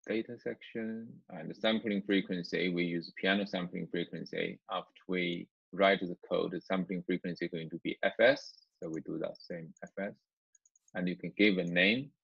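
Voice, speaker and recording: A man with a fairly low voice gives a screencast tutorial in non-native English. He explains each step informally and haltingly, often repeating a phrase. The sound is very dry and close, with a dull, lo-fi tone. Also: steady tone, creaky, fairly bright tone, slightly rough, no room sound. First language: not English. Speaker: university lecturer. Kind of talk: screencast software tutorial, semi-improvised explanation. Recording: dull, muffled lo-fi microphone.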